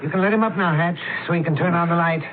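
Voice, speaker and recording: Man playing a highish-voiced, imperious scholar sleuth. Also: fast talker, lightly acted. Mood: probing. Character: commanding, fussy, shrewd male scholar-detective doctor. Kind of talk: radio mystery drama acting. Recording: muffled, faintly noisy old radio broadcast.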